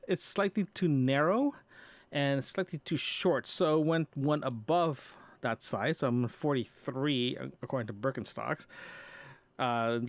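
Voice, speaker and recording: A man's voice: a little high, dry recording. A man chatting casually in a personal audio diary, rambling and often repeating and rephrasing himself. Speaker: podcast host.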